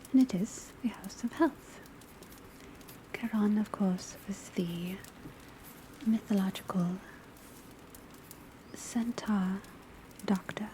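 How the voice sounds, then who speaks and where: A woman playing a calm, studious witch academy professor, lecturing in a soft, breathy, mostly whispered ASMR style with measured, deliberate delivery. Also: medium pitch, a little hiss or hum, close to an everyday voice, low-quality recording. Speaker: teacher lecturing a class. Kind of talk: ASMR classroom roleplay, soft-spoken lecture. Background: faint patter of rain on rooftop.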